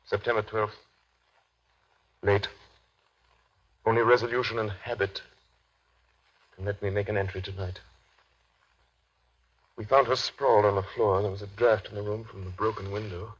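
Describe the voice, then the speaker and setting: An actor playing an earnest, anxious young doctor in a 1930s radio drama, with a solemn, measured delivery. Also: male voice, fairly low pitch, steady tone, slightly rough. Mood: solemn, haunted. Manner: solemn, measured diary narration. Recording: dull vintage 1930s radio recording.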